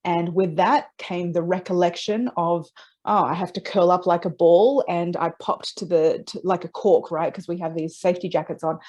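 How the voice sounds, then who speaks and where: A woman being interviewed, telling personal stories in a conversational, reflective and expressive way with small check-in questions. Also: fairly low pitch, moderate pace, dull audio. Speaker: interview guest, transpersonal psychology practitioner.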